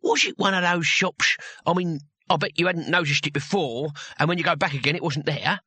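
A man voicing a skinny, stubborn old barbarian hero in an audiobook, with gruff, blunt speech. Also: a little high, fairly quick, sharp tone, no room sound, pitched above the performer's own voice. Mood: curious, knowing.

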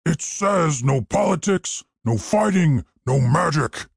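A man voicing a huge, menacing male minotaur bartender in a fantasy audiobook, gruff, blunt and bellowing. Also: a little high, animated, slow, no echo, studio quality, voice pushed up, exaggerated voice. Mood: angry bellowing.

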